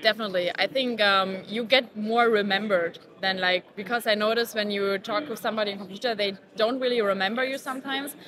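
A woman in her thirties, a startup co-founder, answering interview questions in a halting way, with many fillers and restarts. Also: mid-range voice, fairly even intonation, fairly bright tone. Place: busy indoor conference venue. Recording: conference crowd chatter behind.

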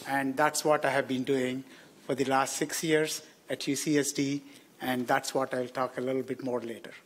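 A man's voice: mid-range voice, little pitch movement, moderate pace. A middle-aged man, an engineer introducing himself with light humor on a conference panel into a table microphone. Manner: light, self-deprecating humor. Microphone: table mic on stand.

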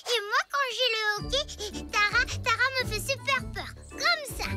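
A woman's voice: extremely high pitch, moderate pace. A woman voicing a naive, fearless little girl in a French cartoon, with a childlike, hesitant way of talking. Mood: chirpy. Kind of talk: French cartoon dub acting. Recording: loud music bed behind voice.

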